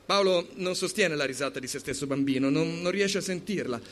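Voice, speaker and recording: A man's voice: a little high, a little fast, a bit bright. A man narrating a story as a dramatic reading, with an urgent, theatrical delivery. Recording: clear background noise.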